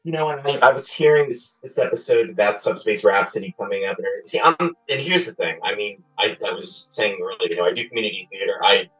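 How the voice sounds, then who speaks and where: A man with a husky, sharp-edged voice talks casually on a radio panel. He rambles and softens his points diplomatically. He sounds off the mic, with faint music behind. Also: fairly low pitch, distant mic, low-quality recording. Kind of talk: casual discussion. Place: radio roundtable discussion.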